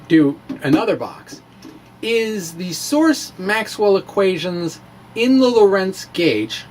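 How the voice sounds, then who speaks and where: A man giving a dramatic reading of a science blog, halting and theatrical, with informal wry asides. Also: on the high side, a little hiss or hum. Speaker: physics blogger.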